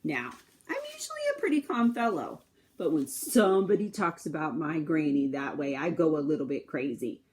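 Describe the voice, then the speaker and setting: A woman's voice: low voice, very animated, a little mellow, slight background noise, pitched below the performer's own voice. A woman voicing an aggrieved wolf who excuses himself in a folksy, insistent way, reading a children's picture book aloud. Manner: folksy, insistent, drawn-out emphatic storytelling. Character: aggrieved, self-excusing wolf telling his side of events.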